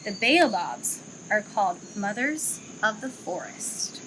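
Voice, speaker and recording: A young woman who works at a zoo reads a children's picture book aloud outdoors, in an expressive storytelling manner. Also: mid-range voice, noisy background. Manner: slow, expressive storytelling, livelier chat afterward. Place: outdoor zoo enclosure with trees.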